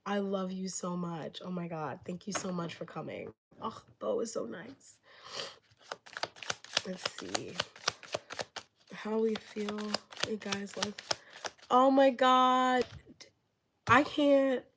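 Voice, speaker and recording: A woman's voice: medium pitch, lively intonation, slow pace, pauses often, heavy background noise. A woman reading tarot on a live stream, rambling with many filler words and losing her voice from being sick. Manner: gushing thanks to viewers. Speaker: tarot reader.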